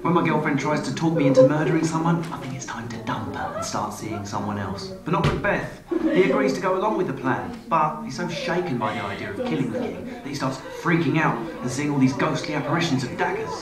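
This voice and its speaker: A comedian presents in a lively, wry voice of middling pitch. The sound is roomy and distant, with loud crowd noise around him, and he keeps the scripted narration playful. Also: fast talker, hardly any pauses.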